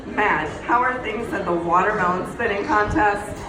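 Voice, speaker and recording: A woman playing a brisk presenter handing over to a reporter on a 1930s-style radio show. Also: mid-range voice, noisy background, close to an everyday voice. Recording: roomy, music behind.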